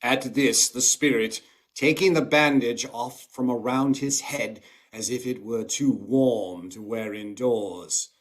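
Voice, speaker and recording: A man's voice: medium pitch, takes it slowly. A man narrating a scripted radio play as a storyteller, with instrumental music behind him. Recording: music under the voice.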